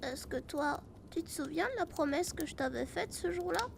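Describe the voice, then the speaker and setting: Small magical critter: squeaky high pitch, gravelly edge, cheeky, loyal and lazy, fantasy audio drama. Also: female voice, normal speed, background noise. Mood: earnest, protective.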